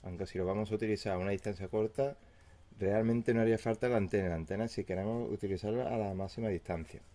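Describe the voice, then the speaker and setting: A man's voice: on the low side, steady tone, quick pace, dark tone. A man giving a casual, step-by-step unboxing review, explaining plainly as he goes. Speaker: product reviewer. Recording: clear background noise with handling crinkles.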